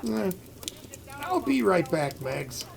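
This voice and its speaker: Man acting a gravelly, soft-hearted Santa-suited husband. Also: high-pitched, huge pitch swings, slow pace, exaggerated voice. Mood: earnest. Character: kindly, worried husband working as a charity-bell Santa. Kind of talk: audio play acting.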